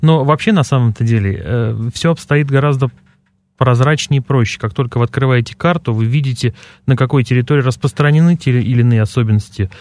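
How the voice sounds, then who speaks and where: A man's voice: mid-range voice, darkish tone, no echo. Dry-voiced male dialect expert. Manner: explanatory, measured. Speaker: dialectologist guest. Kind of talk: expert explanation on radio. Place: radio studio.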